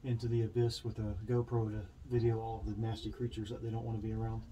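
A man's voice: lowish voice, completely flat delivery, fast, dark tone, slight background noise. A man co-hosting a hiking vlog, chatting and joking casually. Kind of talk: casual chat. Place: outdoors on a rocky trail. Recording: distant mic with music.

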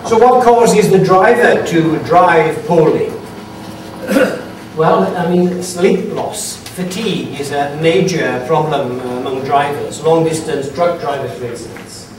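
A middle-aged man from Wales with a Welsh accent tinged by Swedish gives a conference lecture, halting with frequent fillers and a dry humor. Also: fairly high pitch. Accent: Welsh with Swedish influence. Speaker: forensic science lecturer. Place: conference hall. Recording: echoey hall from far off, noisy.

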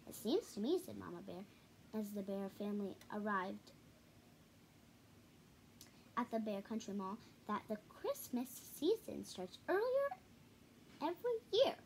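A young girl of about ten to twelve reading a children's picture book aloud haltingly, with stumbles, repeats and casual asides. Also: medium pitch, big pitch swings, darkish tone, slight background noise, rough recording. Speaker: storybook reader. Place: small living room at home, indoors.

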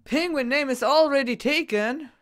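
A young man casually commenting while he plays a game on his computer, excitable and jokey, jumping from loud bursts to quiet mumbles. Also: very high voice, normal speed. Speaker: gaming YouTuber.

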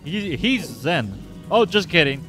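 A man in his twenties chatting casually with his livestream chat while playing, laid-back and quick to laugh. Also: fairly high pitch, sing-song. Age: 20s. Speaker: livestream gamer. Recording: game music loud behind. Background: game music.

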